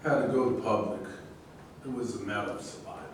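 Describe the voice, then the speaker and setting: Distant, echoey actor as an insistent athlete. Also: male voice, fairly low pitch, quick pace, natural voice. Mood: defiant. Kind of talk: staged play reading. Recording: very echoey room, distant mic, faint noise.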